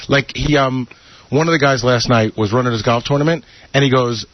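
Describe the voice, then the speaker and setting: A man's voice: medium pitch, brisk, dry recording, muffled recording. A man chatting casually on a radio panel, telling anecdotes.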